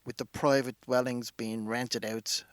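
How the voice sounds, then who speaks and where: An Irish actor playing a matter-of-fact radio newsreader delivering a scripted bulletin. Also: male voice, medium pitch, flat delivery, a little slow, no room sound, close to an everyday voice. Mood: formal, neutral.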